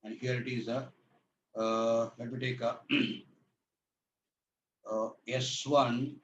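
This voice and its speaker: A hoarse man giving an online lecture, repeating his phrases with many "uh" fillers and asking students whether they can hear him. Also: medium pitch, flat delivery, drawn-out words, fairly bright tone. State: hoarse from a throat infection. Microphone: laptop mic. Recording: echoey, dull laptop recording with faint hiss.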